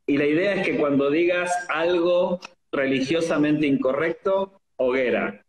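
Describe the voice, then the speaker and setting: A man with an Argentine accent hosting a casual interview over a video call, playful and joking, filling pauses with "este". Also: on the high side, reverberant, poor audio. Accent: Argentine Spanish. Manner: playful, joking, many fillers. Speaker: host.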